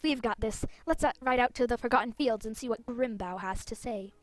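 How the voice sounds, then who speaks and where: A woman's voice: a little high, a little fast, dry recording, close to an everyday voice. A woman playing an earnest, eager young female rider hero from a fantasy game. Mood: alarmed, determined.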